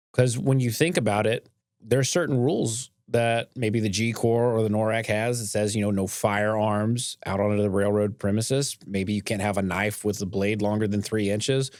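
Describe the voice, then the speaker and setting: A man's voice: lowish voice, darkish tone, no room sound, clean studio sound. A man hosting a podcast in casual, earnest, conversational talk, often checking in with the listener. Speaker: podcast host, railroad company boss.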